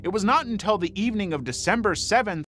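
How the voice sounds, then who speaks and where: Lively higher-pitched male true crime narrator. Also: fast talker. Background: background music.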